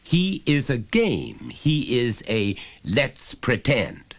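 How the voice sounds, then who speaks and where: A man's voice: mid-range voice, very animated, very slow, voice pushed up, exaggerated voice. A man voicing a stern, pompous, overbearing father who lectures insistently in a storybook reading. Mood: stern lecturing.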